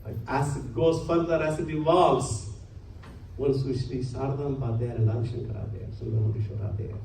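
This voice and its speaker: A man's voice: mid-range voice, steady tone, moderate pace, warm tone. A man giving an earnest religious lecture to a congregation, using many Sanskrit terms. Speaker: spiritual lecturer. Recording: echoey hall, distant mic, faint hum.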